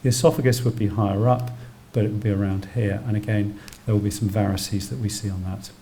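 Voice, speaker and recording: A man's voice: on the low side, fairly even intonation, fast talker, warm tone, a little hiss or hum. A British man giving a public medical lecture as a doctor, explaining technical terms plainly as he goes. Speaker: doctor lecturing on emergency radiology. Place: indoor public lecture venue.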